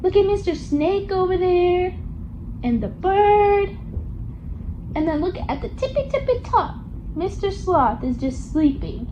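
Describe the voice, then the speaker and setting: A woman's voice: very high-pitched, dull audio, noisy background. A woman reading a picture book aloud to a young child, coaxing along with questions in a sing-song, child-directed way. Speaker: storybook reader for a young child.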